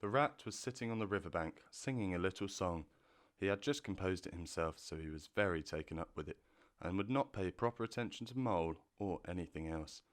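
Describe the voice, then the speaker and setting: Deep smooth guy reading a storybook, gentle and steady. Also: a little fast. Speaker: narrator. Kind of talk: storybook narration.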